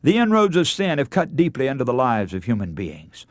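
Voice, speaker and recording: A man's voice: medium pitch, huge pitch swings, a little fast, no echo, studio quality. A man delivering a scripted radio sermon in an earnest, oratorical manner, speaking directly to his listeners. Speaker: radio preacher.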